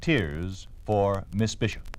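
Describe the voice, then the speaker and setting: A man's voice: fairly low pitch, slow pace, background noise. A man announcing a radio drama in solemn, emphatic 1950s narration style. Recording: old radio broadcast recording.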